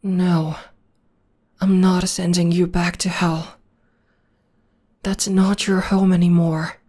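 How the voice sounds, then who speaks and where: A young woman voicing a gentle, devoted witch girlfriend in an ASMR comfort roleplay, speaking softly and often in a whisper. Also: fairly low pitch, level tone, slow pace. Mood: tender, soothing. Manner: soft, soothing, often whispering. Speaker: voice actor.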